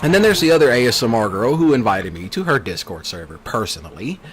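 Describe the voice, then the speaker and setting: A man's voice: mid-range voice, big pitch swings, fast, faint music behind. A man, a folksy gaming channel host rambling casually in a teasing rant. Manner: folksy, teasing, mocks others in put-on quoted voices. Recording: clear background noise, car engine sounds. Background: vehicle sounds from a driving video game.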